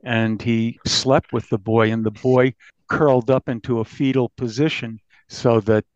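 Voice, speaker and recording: A man's voice: medium pitch, steady tone, measured pace, dry recording. Dull, dry recording of a male journalist. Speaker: investigative journalist, interview guest. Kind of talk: conversational recounting of a true crime case. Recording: dull, band-limited audio.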